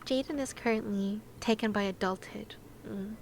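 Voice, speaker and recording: A woman's voice: medium pitch, moderate pace, creaky, slight background noise. A woman livestreaming a game, chatting casually in a playful, teasing way with exclamations of wonder. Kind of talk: casual livestream chat over gameplay. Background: game music.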